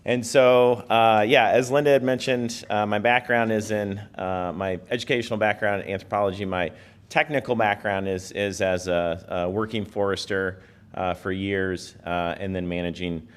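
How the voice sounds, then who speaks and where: Male presenter, hoarse today, very low, smooth-toned, casual and unassuming at the lectern. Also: steady tone, normal speed. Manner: conversational, plain-spoken, self-effacing. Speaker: guest speaker and educator. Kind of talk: informal presentation to an audience.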